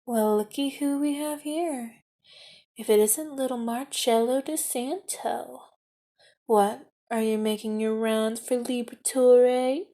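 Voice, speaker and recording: A woman's voice: on the high side, fairly even intonation, slow, warm tone. A woman voicing a menacing, knife-wielding street extortionist in an ASMR audio roleplay, taunting and mock-sweet with hissed whispers. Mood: sneering.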